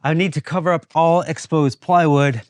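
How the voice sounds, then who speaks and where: Casual man with a higher-pitched voice. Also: steady tone, moderate pace, clean tone. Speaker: host of a woodworking channel. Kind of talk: casual workshop vlog narration.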